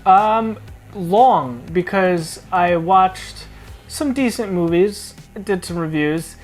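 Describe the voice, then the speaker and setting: A man's voice: sits high, lively intonation, takes it slowly. A man co-hosting a casual podcast chat, laid-back and hesitant, with frequent filler sounds and casual swearing. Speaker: podcast co-host.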